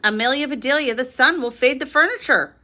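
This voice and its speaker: A woman's voice: high-pitched, brisk, sharp tone. A woman voicing a fussy, exasperated lady of the house in a children's storybook, exclaiming and questioning in dismay. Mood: demanding.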